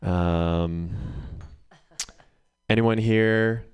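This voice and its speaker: Deep, smooth-voiced male stand-up comic. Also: fairly even intonation, drawn-out words. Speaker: stand-up comedian. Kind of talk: live stand-up comedy set.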